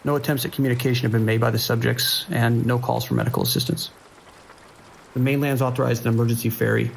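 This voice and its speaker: An actor playing a tense, dutiful police dispatcher in an audio drama, with clipped, procedural radio speech. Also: male voice, mid-range voice, animated, quick pace, vocal fry, a little hiss or hum, rough recording. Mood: grim, controlled.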